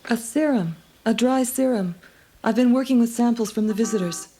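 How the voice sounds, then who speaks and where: A woman's voice: fairly high pitch, normal speed, warm tone, lightly acted. A woman playing an earnest resistance scientist in an audio storybook. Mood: tense, earnest. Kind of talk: dramatised audio storybook acting.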